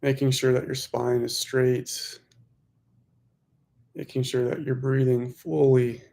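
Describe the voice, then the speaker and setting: A man leading a guided meditation, giving gentle instructions in unhurried phrases with pauses. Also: mid-range voice, completely flat delivery, vocal fry.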